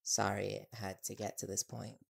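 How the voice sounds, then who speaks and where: A man's voice: lowish voice, flat delivery, moderate pace, gravelly. A man playing an easygoing, teasing young reporter boyfriend in an audio roleplay, talking casually and with playful stubbornness. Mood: casual, wary.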